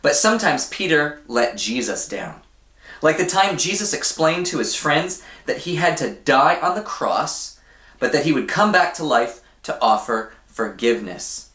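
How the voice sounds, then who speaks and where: A man reading a children's story aloud in an expressive, deliberate way, often putting questions to his young listeners. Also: on the high side, echoey room. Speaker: church storybook reader for children.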